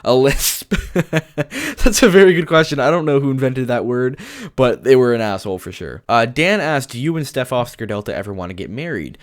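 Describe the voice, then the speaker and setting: A man's voice: medium pitch, expressive, fairly quick. Young man with a lisp, chatty vlogger. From Canada. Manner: chatty, joking, laughs often. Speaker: YouTube gaming channel host. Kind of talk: casual Q&A vlog. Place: bedroom.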